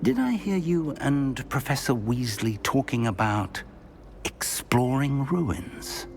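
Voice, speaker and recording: An actor playing a courteous older British wizard professor in a video game, speaking in a formal, measured, articulate way. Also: male voice, medium pitch, lively intonation, vocal fry, a little hiss or hum. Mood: courteous, instructive. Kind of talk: video game cutscene acting.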